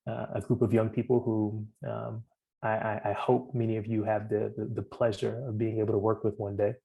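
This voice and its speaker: A man with a low, gravelly voice introduces a student showcase. His speech is flat and halting, full of ums and restarts. The tone stays dark and mellow throughout. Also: poor audio. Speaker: program staff introducing student presenters. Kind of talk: opening remarks at a demo event.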